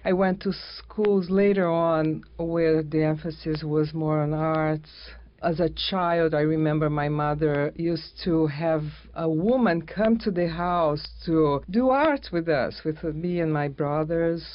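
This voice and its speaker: A middle-aged woman from Brazil, a painter guest in an interview, speaking in a soft, halting way with many restarts. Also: sits low, no room sound. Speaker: guest artist. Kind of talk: casual interview conversation. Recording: dull lo-fi sound.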